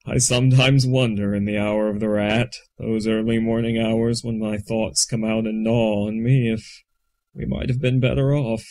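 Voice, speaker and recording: A man's voice: fairly low pitch, flat delivery, moderate pace, darkish tone. A man narrating scripted first-person science fiction for an audiobook.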